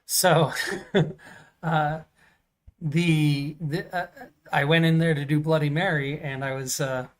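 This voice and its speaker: Co-host, man, loud carrying voice, rambling storyteller, slightly high. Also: fairly even intonation, takes it slowly. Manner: loud voice that carries; rambling, many fillers and restarts. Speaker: podcast co-host. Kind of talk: casual storytelling chat.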